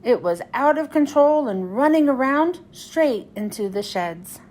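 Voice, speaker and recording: A woman reading a children's storybook aloud as narrator, in an expressive, deliberate way. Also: high voice. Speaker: children's book read-aloud host.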